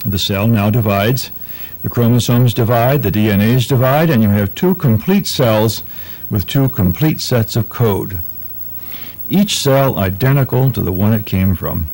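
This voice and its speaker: A man's voice: fairly low pitch, measured pace, a little mellow. A man narrating educational science material in an emphatic way, building through short repeated phrases.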